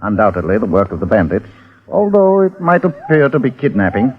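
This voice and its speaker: An actor playing a cautious, officious colonial police constable in an old-time radio drama, formal and hedging. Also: male voice, mid-range voice, sing-song, brisk, natural voice. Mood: cautious, advising. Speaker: supporting actor. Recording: muffled vintage radio.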